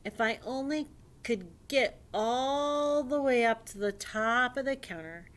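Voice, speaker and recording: A woman voicing a greedy, headstrong little girl from a picture book in an expressive, self-absorbed manner. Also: on the high side, takes it slowly, voice pushed up. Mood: craving.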